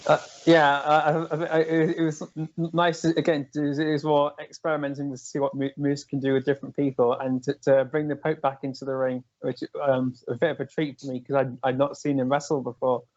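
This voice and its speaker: A man's voice: fairly high pitch, flat delivery, brisk, hardly any pauses, darkish tone, no room sound. A British man co-hosting a casual wrestling chat, stammering and repeating words as he talks. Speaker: podcast co-host. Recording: dry, dull lo-fi sound.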